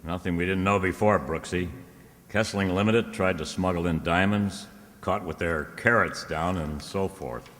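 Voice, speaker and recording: An actor playing a wry, confident detective in an old-time radio drama, talking in a clipped, slangy, casual way. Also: male voice, deep voice, normal speed, fairly bright tone, long reverb tail. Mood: wry, thoughtful.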